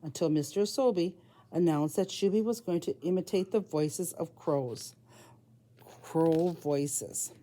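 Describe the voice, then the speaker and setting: A woman with a slightly low, soft-edged and mellow voice reads a storybook to young children. She is a preschool teacher, and she phrases things simply and deliberately, in short chunks that small listeners can follow.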